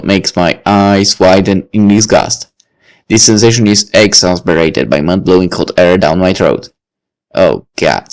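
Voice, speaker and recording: A man's voice: low voice, low-quality recording. A man reading a visual novel aloud, with dry, wry asides. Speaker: let's play reader.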